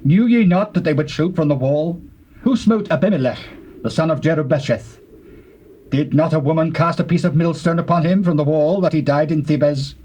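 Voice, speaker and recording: A male actor plays a stern army commander in a biblical drama. His British voice sits fairly high and rings out with forceful, declamatory delivery. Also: moderate pace, close to an everyday voice. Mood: urgent, stern. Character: stern military commander briefing a messenger. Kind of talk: dramatized scripture acting in archaic English.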